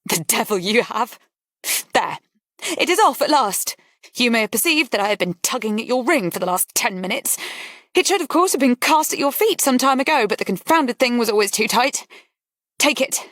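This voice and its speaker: Brief: a woman doing a disdainful high-society lady, languid and sharp-tongued, raised pitch, dry close sound. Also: expressive, moderate pace, no room sound. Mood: mocking. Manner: mocking, languid and cutting. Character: scornful, bored aristocratic lady breaking an engagement.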